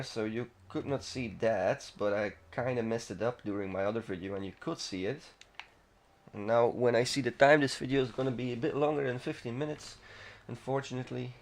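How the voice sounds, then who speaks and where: Rambling man doing casual game commentary. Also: mid-range voice. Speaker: gaming video host.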